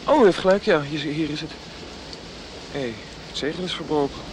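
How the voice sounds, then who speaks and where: A curious travelling physician with a high voice, played by a man in a radio play. He exclaims, hesitates and answers bluntly. Clear noise runs behind the voice. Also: normal speed, natural voice. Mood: anxious. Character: injured travelling physician, curious and outspoken male. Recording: noisy old radio drama, outdoor effects.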